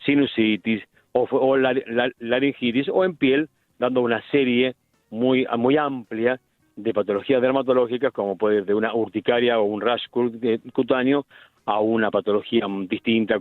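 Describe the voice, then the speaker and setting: A middle-aged man with an Argentine accent, a medical specialist explaining things deliberately in a radio interview, with frequent restarts. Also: medium pitch, dry recording. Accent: Argentine Spanish. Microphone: phone line. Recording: tinny phone line, dry.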